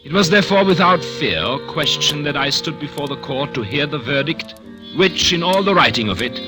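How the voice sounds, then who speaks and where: An actor playing a smug, cold male murderer who narrates his own trial with calm superiority, in a 1940s radio drama. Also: on the high side, moderate pace, lightly acted. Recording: old radio recording with music.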